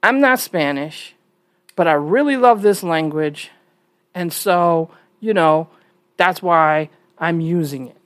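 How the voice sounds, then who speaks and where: A woman's voice: lowish voice, takes it slowly, no room sound. A woman from New York with an American accent, giving casual opinion commentary in an emphatic, conversational, questioning way. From New York, United States. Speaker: online commentator.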